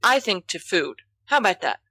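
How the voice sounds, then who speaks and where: A woman's voice: mid-range voice, big pitch swings, moderate pace, bright, forceful tone, dry recording, studio quality. A woman voicing a boisterous, teasing, always hungry teenage schoolboy in a storybook reading. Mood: teasing, hopeful.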